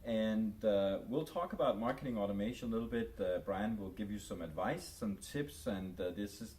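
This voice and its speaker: A man's voice: on the low side, warm tone. A man hosting an interview, introducing his guest casually with a light joke and fillers. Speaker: host, interviewer.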